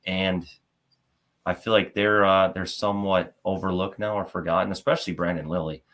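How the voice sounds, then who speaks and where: A man hosting a podcast, talking in a relaxed, conversational way and asking his guest questions. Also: sits low, normal speed. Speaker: podcast host. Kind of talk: casual podcast interview.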